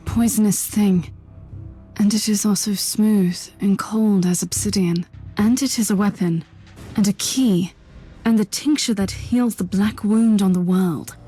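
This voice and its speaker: A woman's voice: mid-range voice, dark tone, slightly rough. An actress playing a grave, resolute woman, a living psychic weapon, brooding and solemn in a game cutscene. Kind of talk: dramatic game cutscene acting.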